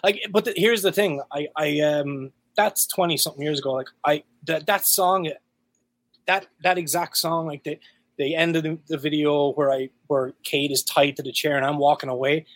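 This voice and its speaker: A man in his thirties with an Irish accent, a guest musician talking frankly and profanely, with lots of halting restarts. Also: fairly high pitch, fairly even intonation, a bit bright, dry recording. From Ireland. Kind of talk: candid casual interview talk.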